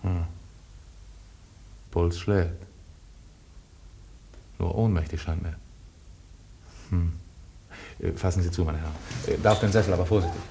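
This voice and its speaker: An actor in a radio play playing a calm, helpful traveller who takes charge in a measured, matter-of-fact way. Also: male voice, low voice, a little hiss or hum.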